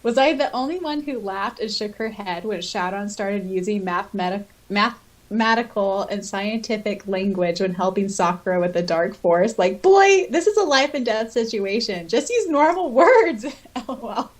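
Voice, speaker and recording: A woman's voice: medium pitch, expressive, moderate pace. A woman co-hosting a podcast, talking informally with giggles and reading listener comments aloud. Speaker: podcast co-host.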